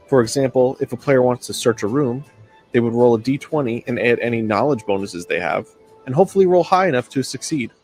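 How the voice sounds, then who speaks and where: A man's voice: mid-range voice, a little mellow. A man reading scripted promotional narration that explains things step by step. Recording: music bed behind voice. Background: music.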